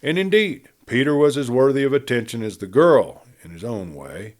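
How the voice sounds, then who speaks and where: Male western audiobook narrator, dramatic and expressive, very animated intonation. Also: medium pitch, moderate pace. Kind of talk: scripted narration of a western novel.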